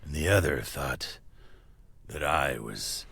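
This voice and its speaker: A menacing slasher with an extremely low, rasping, crackling voice. He takes his time over every phrase and sounds weary and deadpan, like a monster turned sensible adviser in a comic horror audio play. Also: dry recording, heavily acted. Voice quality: gravelly, raspy, rumbling. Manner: slow, deliberate, deadpan. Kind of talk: comedic horror audio sketch.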